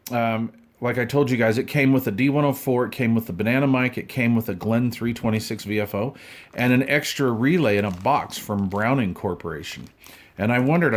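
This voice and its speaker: A man in his fifties with a mid-pitched voice talks like a hobbyist showing things to friends. His delivery is casual and folksy. He rambles along in loose, informal slang. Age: 50s. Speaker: radio hobbyist vlogger. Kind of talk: casual unboxing chat.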